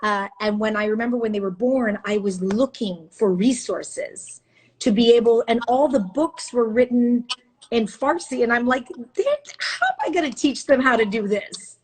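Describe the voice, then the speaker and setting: A woman's voice: a little high, normal speed, slightly rough, low-quality recording. Upbeat woman hosting a live chat. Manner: enthusiastic, animated storyteller. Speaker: host, news anchor. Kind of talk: casual live-stream interview. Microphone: phone or video call.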